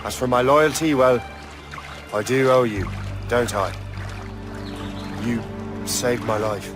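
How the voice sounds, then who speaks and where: A man's voice: medium pitch, slow, over music, natural voice. A man with an Irish accent playing a loyal, wry young sea captain in a video game. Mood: wry, guarded. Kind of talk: video game cutscene acting.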